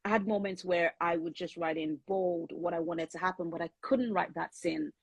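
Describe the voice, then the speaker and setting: A woman whose first language is Yoruba talks through her writing in an earnest, thoughtful way, pausing to restart her sentences. Her voice sits a little low and has a husky, breathy grain. The sound is close and dry. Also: steady tone, no room sound. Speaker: author and guest. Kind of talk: conversational interview.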